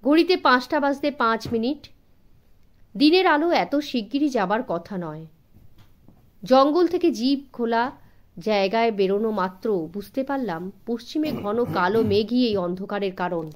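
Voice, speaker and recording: A woman reading a suspense story as an audiobook narrator, in an expressive scripted style suited to children. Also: a little high, measured pace.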